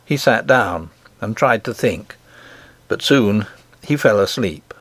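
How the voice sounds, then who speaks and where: A man reading a children's storybook as an audiobook narrator, in a measured, expressive literary manner. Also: on the low side, husky, no room sound.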